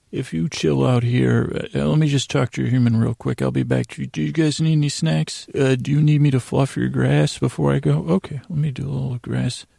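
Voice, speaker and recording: A man hosting a sleep podcast in a rambling bedtime monologue, halting and meandering, repeating himself and trailing off mid-thought. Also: medium pitch, creaky, dark tone, dry recording, clean studio sound.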